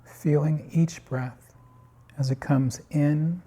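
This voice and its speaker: Slow, husky, mellow man guiding meditation. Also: fairly high pitch. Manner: calm, unhurried instruction in short phrases with long pauses. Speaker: meditation guide. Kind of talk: guided body scan meditation.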